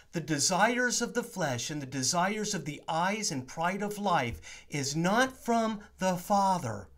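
A man in his forties, a priest giving an earnest, emphatic sermon-style teaching to a webcam in his home study. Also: high-pitched. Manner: earnest, emphatic preaching with rising stresses.

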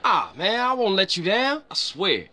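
CJ, a high-pitched, animated young homecomer. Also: male voice, moderate pace, heavily acted. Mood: subdued, sincere. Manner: casual, slangy. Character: young man back home, grieving, loyal, easygoing. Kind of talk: video game cutscene acting.

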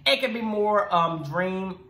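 A man's voice: high-pitched, slow, pushed and bright. A man in his twenties hosting a reaction video, chatting casually and effusively, repeating himself for emphasis. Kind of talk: casual enthusiastic review chat. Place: small room indoors. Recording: echoey room.

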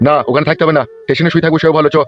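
A man voicing a gruff, coarse, scolding village father in a comedy cartoon. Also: fairly high pitch, steady tone, racing, natural voice. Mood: grumpy, scolding. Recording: dull muffled audio, faint music. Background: faint music.

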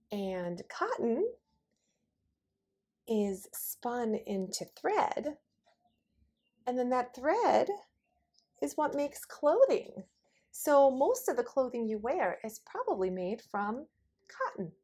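A woman's voice: a little high, big pitch swings, slow, pauses often, a little mellow, husky. A middle-aged woman, a preschool teacher, speaking in simple phrases and questions as in a children's lesson. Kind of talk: children's lesson and read-aloud.

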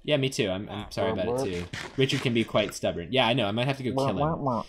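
A man giving casual, rambling playthrough commentary as a gaming host, jokey and excitable. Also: mid-range voice, fast talker, a crowd in the background.

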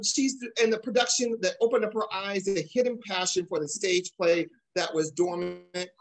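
A man's voice: sits high, low-quality recording. A middle-aged man hosting a casual interview show over a video call from home, speaking in an encouraging, advice-giving way. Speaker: talk show host. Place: small room at home, indoors.

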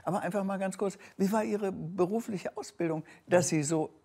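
Gravelly-voiced older woman interviewer. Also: mid-range voice, normal speed, mellow. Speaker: TV host. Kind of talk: TV interview questions. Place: TV studio sofa set. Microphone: lapel.

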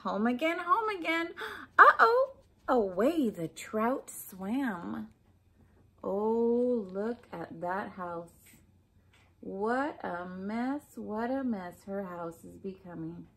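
Female storybook reader, forties, unhurried and very lively in intonation, talking directly to small children. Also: medium pitch, husky. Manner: expressive, addressing young listeners with questions and asides. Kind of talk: children's picture book read-aloud. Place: small furnished room at home, indoors.

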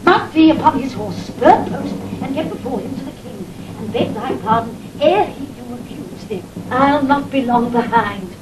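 A woman's voice: expressive, moderate pace, few pauses, natural voice. An actress playing a fiercely protective old duchess and mother in a Shakespearean audio drama, with a British accent and theatrical, emotional delivery. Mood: urgent. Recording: rumbly, noisy vintage 1960s drama recording.

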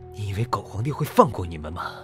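A man's voice: fairly low pitch, fairly bright tone, full character voice. A man playing a proud, embittered, disgraced scholar in a costume radio drama, brooding and intense.

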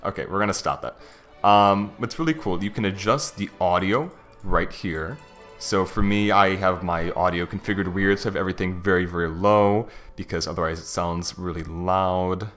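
A man with a low-pitched voice talks through a software demo in a casual, informal way. He thinks aloud as he explains each feature, like a conversational tech reviewer. Music plays behind his voice. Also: moderate pace. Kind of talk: casual screen-recorded software walkthrough. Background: background music.

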